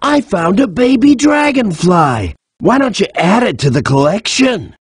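An actor playing a friendly cheetah sidekick in a video game, casual and encouraging, with a husky rasp. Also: male voice, sits high, very animated, measured pace, dry recording, studio quality, heavily acted. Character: laid-back, friendly male cheetah sidekick. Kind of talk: video game tutorial acting.

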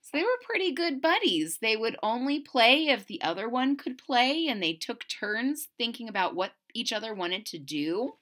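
A middle-aged woman reading a picture book aloud to children, expressive and deliberate, pausing to question her listeners. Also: fairly high pitch. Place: small indoor room at home.

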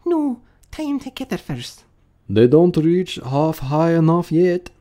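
A man voicing busy animal workers in a storybook, bickering and calling out brisk, colloquial orders. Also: on the high side, sing-song, dark tone, voice pushed up. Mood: bustling.

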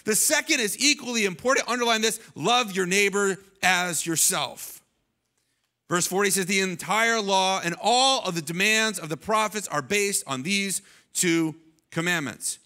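A man in his thirties preaching a sermon on a church stage into a handheld mic, animated and often restarting his phrases. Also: high-pitched, a little slow. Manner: animated, gestures while preaching, many restarts. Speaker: pastor. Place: large church stage, indoors. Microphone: handheld microphone.

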